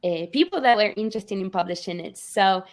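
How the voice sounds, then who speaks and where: An author in a relaxed interview. She gets animated as she tells her story, circles back, and restarts her phrases mid-thought. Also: mid-range voice, brisk. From Argentina. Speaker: children's book author, guest. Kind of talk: conversational video interview.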